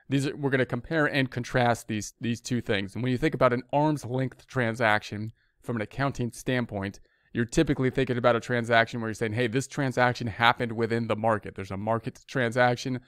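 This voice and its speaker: A man's voice: medium pitch, a bit bright, clear, resonant tone, no echo, studio quality. An American man giving an informal explanatory lecture as an instructor, talking conversationally and often rephrasing himself. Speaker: accounting instructor.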